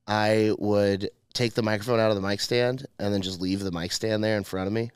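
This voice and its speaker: A man's voice: fairly low pitch, fairly even intonation, fairly quick, smooth, no echo, clean studio sound. A young man hosting a podcast as a comedian, chatting casually with a big, emphatic, profane voice.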